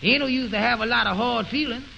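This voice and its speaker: A man playing a naive, slow-witted, questioning fellow in a 1920s radio comedy, with puzzled, halting, folksy ungrammatical talk on an old recording. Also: very high-pitched, vocal fry, bright, forceful tone, highs cut off, a little hiss or hum, heavily acted. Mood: indignant.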